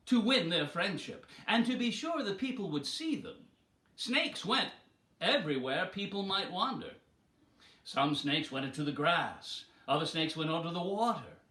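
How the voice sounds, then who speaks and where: A man with a high, bright voice tells a folktale like a stage performer. He takes his time and shapes each phrase dramatically. The sound is roomy and echoing. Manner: dramatic, deliberate delivery with acted voices. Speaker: storyteller. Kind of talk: theatrical storytelling of an animal folktale.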